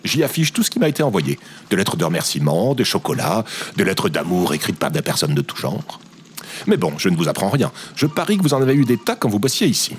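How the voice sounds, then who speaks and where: A man's voice: deep voice, sing-song, a little fast, a little hiss or hum. Man acting a cheerful, swooping space station operator. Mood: delighted, proud. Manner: polite, effusive, naively enthusiastic. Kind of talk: scripted audio comedy fiction acting.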